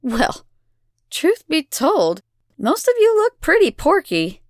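A woman's voice: medium pitch, big pitch swings, takes it slowly, no room sound, studio quality. A woman voicing a cruel, volatile fire goddess in an audiobook, mocking, imperious and theatrical. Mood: irritated. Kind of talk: audiobook character voice.

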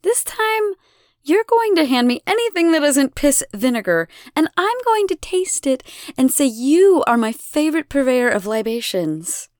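A woman's voice: high-pitched, sing-song, unhurried, dry recording, clean studio sound, pitched above the performer's own voice. A woman voicing a languid, imperious goddess who drawls in a mockingly sweet way. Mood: sardonic.

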